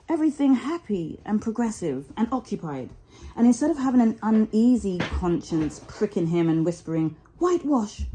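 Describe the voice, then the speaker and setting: A woman storyteller reading a children's story expressively, with deliberate, dramatic phrasing. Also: mid-range voice, warm tone, poor audio.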